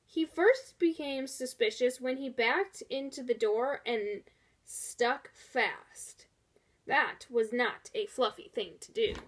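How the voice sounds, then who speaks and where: Slow, high-voiced woman reading a storybook. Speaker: storybook reader. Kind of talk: expressive children's story narration.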